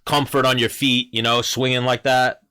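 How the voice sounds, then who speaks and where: A man's voice: mid-range voice, flat delivery, normal speed, pushed and bright, clear, resonant tone. A man hosting a casual podcast, asking long, rambling questions full of fillers. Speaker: podcast host. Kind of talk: casual chat.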